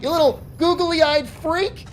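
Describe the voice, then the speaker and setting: A man's voice: extremely high pitch, slow pace, a little hiss or hum. A man in his forties chatting to his live-stream viewers while gaming, joking around and breaking into profane, mock-angry rants. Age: 40s. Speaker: game streamer.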